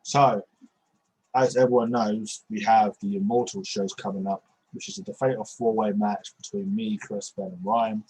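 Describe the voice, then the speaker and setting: A young man in his twenties chats over a video call, his voice husky and a little breathy. He rambles, restarts his sentences and piles on fillers. He talks into a headset mic from a small bedroom. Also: fairly low pitch, steady tone, normal speed, a little mellow, low-quality recording. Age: 20s. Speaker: podcast host. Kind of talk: casual video-call chat.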